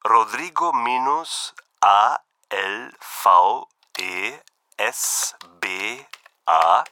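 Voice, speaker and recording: A friendly young student whose first language is Portuguese, as a man acts him out, spells things out slowly and carefully for a textbook listening exercise. His bright voice swings lively up and down. It is a close, dry studio recording. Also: fairly low pitch, no room sound, heavily acted. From Brazil. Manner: slow, carefully enunciated. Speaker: student calling a friend for help. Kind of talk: scripted textbook dialogue.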